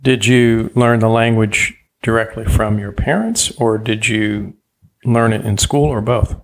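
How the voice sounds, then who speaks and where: A man's voice: lowish voice, measured pace. A man born in Germany, a native German speaker, interviewing a guest and often rambling and restarting his sentences. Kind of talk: casual interview conversation.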